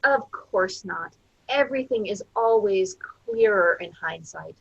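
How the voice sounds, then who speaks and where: A woman playing a patient, placating grief counselor with a creaky, raspy voice, on a dull, muffled microphone. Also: medium pitch, lively intonation, slow, dry recording, exaggerated voice. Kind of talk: staged reading of a play.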